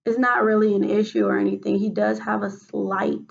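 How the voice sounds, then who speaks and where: A woman with a raspy voice answering questions as a patient in a role-played clinical interview, hesitant with many fillers and restarts. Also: mid-range voice, level tone, fairly quick, muffled recording. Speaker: mock patient in a role-play case study.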